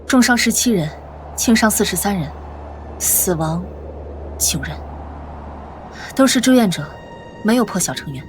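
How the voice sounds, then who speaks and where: Woman playing an earnest lieutenant, expressive voice. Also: mid-range voice, unhurried, long pauses, a little hiss or hum, lightly acted. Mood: concerned, serious. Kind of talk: motion-comic drama dubbing.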